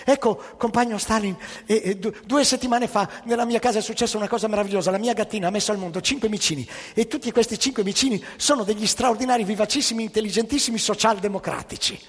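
A man's voice: sits high, quick pace, husky, big-room sound. A man voicing an innocent seven-year-old schoolgirl reciting eagerly in a comic stage story.

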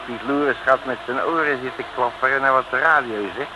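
An actor playing a cheeky, cocky detective in an old Dutch radio serial, bantering in a brash, folksy way. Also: male voice, medium pitch, fairly even intonation, a little fast, noisy background, lightly acted. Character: cheeky, cocky male detective on the run at sea. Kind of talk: 1950s-60s Dutch radio serial acting.

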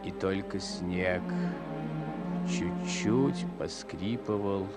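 A man narrating a wartime radio play as a solemn storyteller, with measured, weighty literary phrasing and pauses. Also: on the low side, big pitch swings, loud music behind the voice, heavily acted. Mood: somber, tender. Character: solemn storyteller of a war tale.

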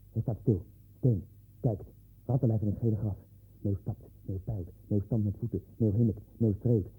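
An actor playing a tormented, paranoid man in a 1960s Dutch radio drama, husky, speaking in obsessive, repeated short phrases. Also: mid-range voice, narrow, tinny audio. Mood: mounting frenzy. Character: Len, tormented, paranoid man sinking into dwarf-haunted delusions.

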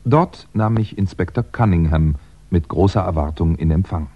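An actor playing a wry, composed crime writer in a 1960s German radio drama, telling his story in a measured, conversational way with hesitant asides. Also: sits low. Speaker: actor playing narrator and lead. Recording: dull, band-limited 1960s radio recording.

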